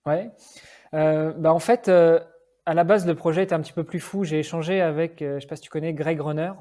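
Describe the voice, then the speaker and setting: Man from France, podcast guest; raised pitch, soft mellow tone, informal hesitant explainer. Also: fairly even intonation, a little fast. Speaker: podcast guest, endurance athlete. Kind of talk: casual podcast interview chat.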